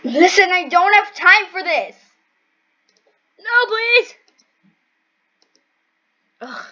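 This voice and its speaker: A woman's voice: very high-pitched, very animated, drawn-out words, pushed and bright. A woman acting out the characters of an amateur anime-style story in dramatized dialogue. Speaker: amateur voice actor for a fan-made anime story video. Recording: muffled lo-fi recording.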